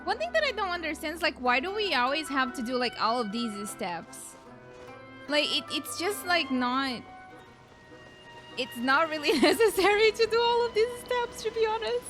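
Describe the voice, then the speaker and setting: A woman is commenting casually on a game as she streams it. Her voice is high and animated. She talks back to the characters informally, with soft game music behind. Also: normal speed.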